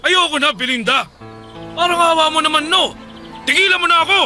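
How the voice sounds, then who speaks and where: A fed-up Filipino husband who has left his wife. He scolds in a very high, tense and strained voice, in radio-drama style over faint music. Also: a little fast, bright, forceful tone, full character voice. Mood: exasperated. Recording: music behind.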